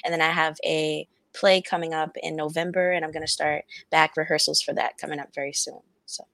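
Young woman, low-ish voice, upbeat, laughs easily, dry sound. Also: little pitch movement, brisk, gravelly, a bit bright, no echo. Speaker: actress, guest. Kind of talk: interview answers.

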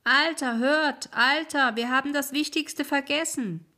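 A woman voicing mocking will-o'-the-wisp spirits in a sleep story, calling out high and loud. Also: measured pace.

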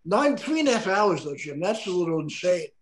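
A man's voice: high-pitched, big pitch swings, fairly quick. A man hosting a podcast in casual chat over a video call, rambling with lots of stumbling restarts. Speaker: podcast host.

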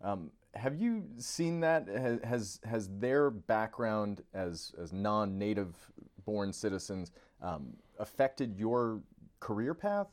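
An American man with a slightly low voice hosts a podcast interview. He talks in a conversational style, setting out his thoughts and putting questions to his guest. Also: big pitch swings, slow, darkish tone.

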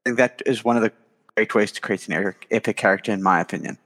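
A man from Pretoria, a player and dungeon master sharing measured advice on a panel. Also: lowish voice, fairly bright tone, big-room sound.

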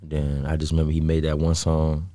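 A man's voice: a bass voice, monotone, brisk, mellow, no echo. A man in his twenties with an American accent, chatting casually as an interview guest in laid-back, slangy phrasing. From Chicago south suburbs, US. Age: 20s. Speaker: interview guest, music artist.

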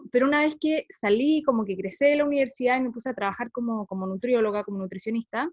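A woman's voice: fairly high pitch, fast, darkish tone, lo-fi sound. A woman in her thirties from Chile with a Chilean accent, a guest researcher chatting in an interview, laughing often and using many fillers. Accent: Chilean Spanish. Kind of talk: casual interview talk.

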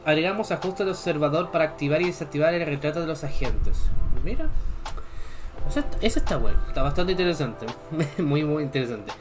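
A man's voice: fairly high pitch, lively intonation, a little fast, over music. A young man from Chile, a streamer reading game patch notes aloud and chatting casually with viewers between points. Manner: casual, chatty asides between read-out items.

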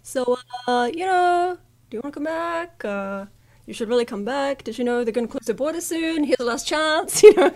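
A woman's voice: sits high, lively intonation, normal speed, a little mellow. A woman in her thirties from New Zealand with a slight New Zealand accent, chatting as a musician guest in an interview, laughing often and telling stories with animation. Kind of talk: casual interview chat.

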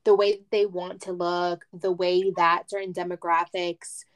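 A woman in her twenties co-hosting a podcast, talking in a relaxed, casual way with plenty of "like.". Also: on the low side, a little slow. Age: 20s. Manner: relaxed, uses "like" often. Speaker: podcast co-host. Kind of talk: casual chat.

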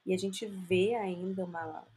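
A woman's voice: on the low side, steady tone, unhurried, warm tone, a little hiss or hum. Woman explaining earnestly. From southern Brazil. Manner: earnest, explanatory, many "né?" tags. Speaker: guest, linguist and bilingual education specialist. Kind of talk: casual interview chat.

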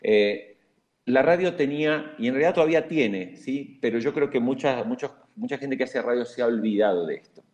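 A man's voice: medium pitch, expressive, normal speed, a little mellow, muffled recording. A man with an Argentine accent, giving emphatic, coaching advice on radio and podcasts in a panel talk.

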